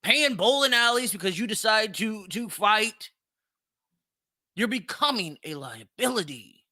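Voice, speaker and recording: A man's voice: high voice, lively intonation, measured pace, bright, forceful tone, no room sound, clean studio sound. A man hosting an opinionated solo commentary show, speaking bluntly and emphatically, swearing freely and repeating phrases for stress. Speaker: podcast host.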